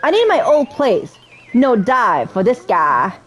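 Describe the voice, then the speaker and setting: A woman's voice: fairly high pitch, sing-song, slow, heavily acted. A woman voicing a peppy male cartoon rabbit who talks in exaggerated, chirpy old-timey slang in a video game. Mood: cheerful, eager.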